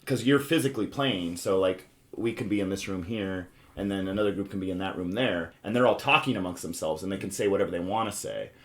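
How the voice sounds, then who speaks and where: A man with a fairly low voice hosts a relaxed chat about board games. He talks in a chatty, conversational way, jumping in to prompt his co-hosts and explain things. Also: quick pace. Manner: chatty, interjecting, prompts the others. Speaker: podcast host. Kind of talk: casual board game chat.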